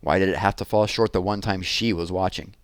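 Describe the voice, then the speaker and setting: A man reading a children's chapter book aloud as narrator, in an expressive storytelling style. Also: low voice, fairly quick, clean tone, dry recording.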